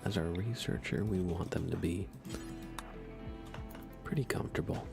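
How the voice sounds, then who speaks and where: Low, creaky-voiced man, hushed ASMR gamer. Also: over music. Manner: hushed, unhurried, musing aloud. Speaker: live streamer. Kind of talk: soft ASMR gaming commentary.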